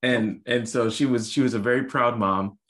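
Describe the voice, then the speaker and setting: A man's voice: medium pitch, fairly even intonation, normal speed, a bit bright. A young adult man from the US Virgin Islands, answering interview questions thoughtfully with many fillers and restarts. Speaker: author guest.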